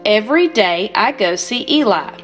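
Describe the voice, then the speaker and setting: An older woman voicing a carefree wooden girl puppet with a bright, lilting way of talking. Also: medium pitch, animated, moderate pace, soft background music, close to an everyday voice. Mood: breezy.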